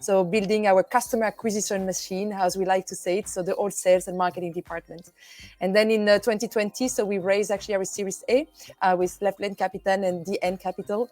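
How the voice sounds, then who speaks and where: A woman's voice: medium pitch, steady tone, quick pace, darkish tone, no room sound. Young woman from belgium, native french speaker, friendly, filler-heavy, dry close sound. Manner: friendly, laughs easily, many fillers. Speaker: company executive, guest. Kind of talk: interview answers.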